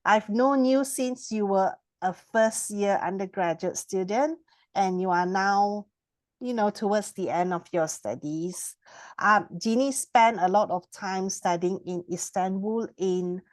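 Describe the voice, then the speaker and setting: A woman from the university interviewing a student, asking measured questions with brief hesitations. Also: mid-range voice, a little mellow, dry recording. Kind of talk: hosted interview.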